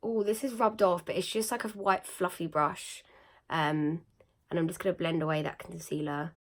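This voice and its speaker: A young woman with a croaky, slightly nasal voice after being ill, chatting casually through a makeup tutorial with lots of fillers. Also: fairly low pitch, steady tone, normal speed. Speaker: beauty vlogger.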